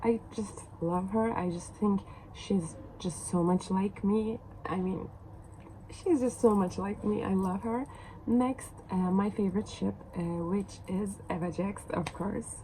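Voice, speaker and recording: A woman's voice: mid-range voice, fairly even intonation, a little slow, dark tone. A young woman from Turkey with a Turkish accent, chatting hesitantly to camera as a book vlogger, with many fillers and restarts. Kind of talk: casual chat to camera. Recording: noisy background.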